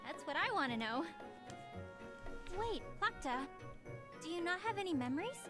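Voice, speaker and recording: A woman playing an earnest, excitable teenage novice alchemist in an anime game, hesitant and easily startled. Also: extremely high pitch, moderate pace. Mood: puzzled, curious. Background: game music.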